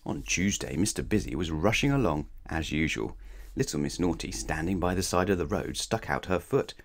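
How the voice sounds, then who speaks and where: A man reading a children's storybook aloud in an animated, playful way, speaking straight to young listeners. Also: sits low, moderate pace. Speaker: storybook reader. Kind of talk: expressive scripted children's story read-aloud.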